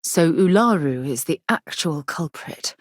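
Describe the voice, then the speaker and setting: A woman playing an earnest, inquisitive, principled space explorer in a video game, with a husky voice and articulate, formal phrasing. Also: deep voice, expressive, measured pace, no echo, studio quality. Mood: thoughtful, composed. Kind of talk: scripted video game dialogue.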